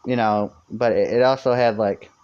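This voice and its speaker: A young man with a voice he calls high-pitched, chatting casually and rambling on a live stream, full of fillers and restarts. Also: steady tone, normal speed, a little mellow, smooth, highs cut off.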